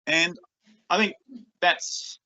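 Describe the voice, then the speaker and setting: A man's voice: high-pitched, fairly even intonation, drawn-out words, sharp tone, no echo, lo-fi sound. A man with an Australian accent giving a conference talk as a researcher, enthusiastic and full of ums and repeated words. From Australia, rural northeast Victoria.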